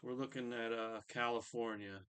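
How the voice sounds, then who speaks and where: A man's voice: mid-range voice, flat delivery, moderate pace, a bit bright, clean tone, no room sound. A man hosting a live stream in a casual, distracted, muttering way.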